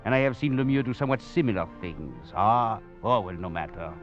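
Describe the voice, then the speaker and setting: A man's voice: fairly low pitch, animated, fairly quick, close to an everyday voice. A man playing a wry, chuckling escaped prisoner. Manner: chuckling, wry, theatrical narration. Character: wry, cunning male escaped prisoner and philosopher. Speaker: narrator. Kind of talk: 1940s radio acting. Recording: dull old radio recording, faint music.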